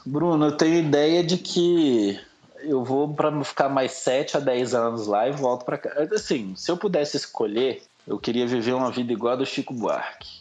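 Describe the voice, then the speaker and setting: A man's voice: medium pitch, normal speed, darkish tone, slight background noise. A Brazilian man, middle-aged, speaking Brazilian Portuguese as a podcast guest, casually recounting his own experiences. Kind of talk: casual interview, personal recollection. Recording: slightly dull, lo-fi sound.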